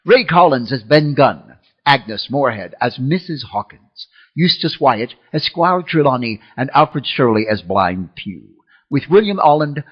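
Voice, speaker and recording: A man's voice: mid-range voice, breathy edge, lo-fi sound. A man hosting an old-time radio program, reading a scripted sign-off. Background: faint music.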